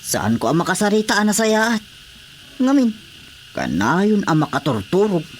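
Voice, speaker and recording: An aggrieved, complaining farmer husband. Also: male voice, sits high, huge pitch swings, normal speed, a little hiss or hum. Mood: frustrated. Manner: complaining, insistent. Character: aggrieved farming husband fed up with his wife. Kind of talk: radio drama acting.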